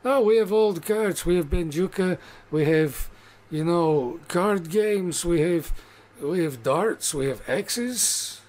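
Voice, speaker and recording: A man voicing a gruff male orc barkeeper who speaks in clipped, broken grammar. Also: a little high, animated, slow pace, a little mellow. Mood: brisk.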